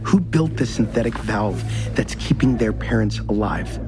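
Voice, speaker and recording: An actor playing a weary, blunt son of a company magnate in a naturalistic audio drama. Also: male voice, on the low side, animated, normal speed, music in the background, lightly acted. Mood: bitter, reproachful.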